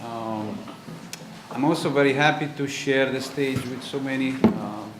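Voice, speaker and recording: A man from Italy with an Italian accent, giving a conference talk on a panel, halting with frequent ums and uhs. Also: mid-range voice. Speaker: panel speaker. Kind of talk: conference presentation. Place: lecture auditorium. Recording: roomy hall with faint noise.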